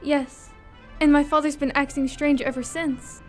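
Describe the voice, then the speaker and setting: A woman's voice: high voice, level tone, measured pace, faint music behind. A woman playing a pleading 1940s femme fatale in a noir radio drama. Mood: anxious.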